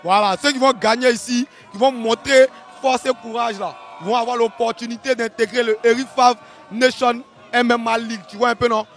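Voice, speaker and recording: A man with an Ivorian accent giving live, casual fight commentary in a colloquial, animated way, often repeating his phrases. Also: very high-pitched, moderate pace, faint music behind.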